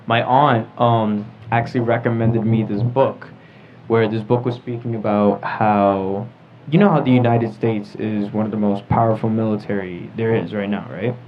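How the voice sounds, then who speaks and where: A man's voice: on the low side, fairly even intonation, normal speed. A young man moderating a casual group discussion, speaking informally. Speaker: podcast moderator. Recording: muffled audio with clear background noise.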